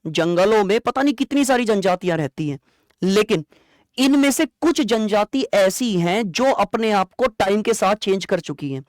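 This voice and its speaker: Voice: mid-range voice, no echo. Exam teacher, close dry sound. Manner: asks rhetorical questions and answers them; conversational, repeats key points, checks understanding. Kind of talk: explanatory classroom lecture.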